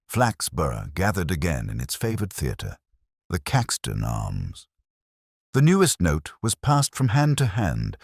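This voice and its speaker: Male narrator, very low register with lively intonation, measured literary delivery for mystery fiction. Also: creaky, breathy edge, no room sound, clean studio sound. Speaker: audiobook narrator. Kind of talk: scripted narration of a mystery story.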